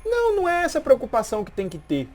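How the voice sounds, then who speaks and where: A woman's voice: high voice, huge pitch swings, quick pace, darkish tone, slight background noise. A woman talking casually on a live stream and explaining a point like a language teacher, repeating herself and asking if the listener follows.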